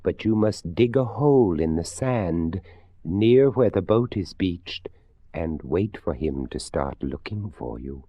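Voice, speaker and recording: A man acts out a gentlemanly old skeleton from a storybook, lifted above his deep narrating register and unhurried. He is courteous and plaintive, phrasing everything with formal, genteel care in a dry, slightly dull recording. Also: expressive, no room sound, exaggerated voice. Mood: earnest, courteous.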